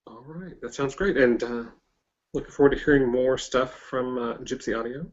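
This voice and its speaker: A man hosting a casual interview with halting, hesitant phrasing, heard over a dull online call. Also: mid-range voice. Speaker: interviewer, host. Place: online video hangout.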